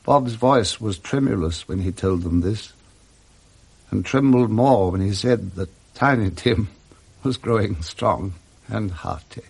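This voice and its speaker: A man with a British accent narrating a literary story for radio drama, with expressive, measured dramatic phrasing. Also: lowish voice, gravelly, breathy edge.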